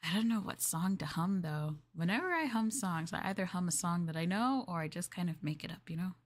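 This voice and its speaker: A woman plays a young female maid in an ASMR roleplay. She is gentle and courteous, with a bashful, hesitant way of talking. She sounds eager to please as she offers her services to her master. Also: fairly low pitch, animated, fairly quick. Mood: gently agreeable. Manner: soft, polite, hesitant, apologetic, eager to please. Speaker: VTuber streamer playing a maid for live chat. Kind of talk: live roleplay ASMR, improvised chat.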